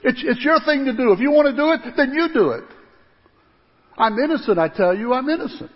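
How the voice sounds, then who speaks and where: A man playing a husky guilt-ridden Roman governor. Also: sits high, big pitch swings, takes it slowly, heavily acted. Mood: defensive. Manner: theatrical, with swings between hushed and loud delivery. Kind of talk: dramatic first-person monologue. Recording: dull lo-fi sound with long hall reverb.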